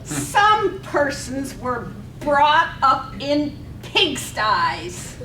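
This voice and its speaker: Lady doing prim scornful wife, high and sharp, radio play in a roomy space. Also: big pitch swings, very slow, exaggerated voice. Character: sour, haughty, nagging wife who scorns her husband. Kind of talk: stage reading of a poetic radio drama. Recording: roomy, distant microphone, faint noise.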